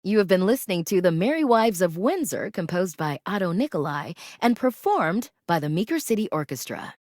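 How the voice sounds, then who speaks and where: An actress playing a cultured, gracious public radio host in a video game, presenting classical music in a measured, articulate and formal way. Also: female voice, on the low side, big pitch swings, a little mellow, dry recording, clean studio sound. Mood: poised, informative.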